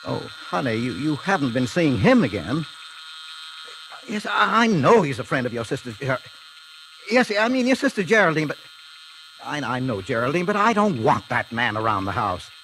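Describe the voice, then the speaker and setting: An actor playing a meek, nervous husband with a hesitant, stammering delivery in 1940s radio drama style. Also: male voice, medium pitch, big pitch swings, normal speed, fairly bright tone, slight background noise, low-quality recording. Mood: affectedly doting. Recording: 1940s radio recording with music.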